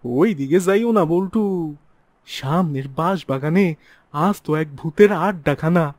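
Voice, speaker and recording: A timid cartoon village lad, acted by a man, high in pitch and fretful, speaking. The sound is dry and close. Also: normal speed, a little mellow, no room sound, close to an everyday voice. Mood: warning. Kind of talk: cartoon dialogue acting.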